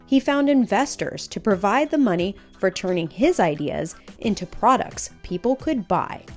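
A woman reading a children's picture book aloud in an expressive, emphatic storytelling manner. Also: mid-range voice, normal speed, faint music behind.

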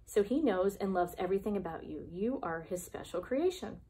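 A woman's voice: medium pitch, lively intonation, fairly quick, dark tone. A woman in her thirties with a mid-range voice. She hosts for preschoolers, moving between reading aloud and casual chat. Her questions are simple and encouraging. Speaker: preschool devotion host. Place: small classroom, indoors.

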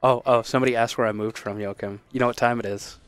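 Man, thirties, hammy gameplay chat, dry close sound, mid pitch. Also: steady tone, quick pace, clean tone, no echo, soft background music. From Texas, USA. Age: 30s. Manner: playful, hammy, breaks into loud exaggerated bits. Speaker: streamer co-host. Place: small home room.

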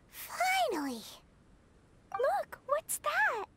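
A woman voicing a tiny, excitable, wide-eyed girl in a cartoonish game, squeaky and childlike. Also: very slow, a little hiss or hum, exaggerated voice. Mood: excited. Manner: exclamatory, wide-eyed questioning.

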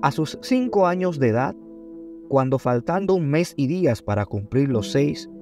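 A man reading a scripted biographical narration in a formal, measured way, in short phrases. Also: a little high, sing-song, darkish tone. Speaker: documentary narrator. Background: background music.

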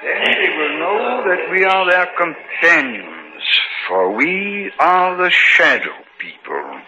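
An actor playing a grave, cryptic old doctor of the supernatural in an old radio drama, speaking formally and deliberately. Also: male voice, a little high, big pitch swings, loud music behind the voice. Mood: ominous. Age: older. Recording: muffled, noisy old radio recording.

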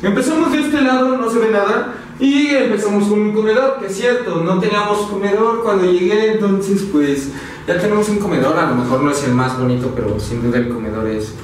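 A young man with a Mexican Spanish accent, chatty and full of slang as he addresses his viewers. His voice sits a little high, picked up by a camera held some distance away. The small rooms add a noticeable echo. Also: fairly quick, slight background noise. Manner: slangy, energetic, talks to viewers as "banda". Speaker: vlogger. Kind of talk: casual house tour vlog. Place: small apartment, indoors. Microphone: handheld camera mic. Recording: echoey room, a bit off-mic.

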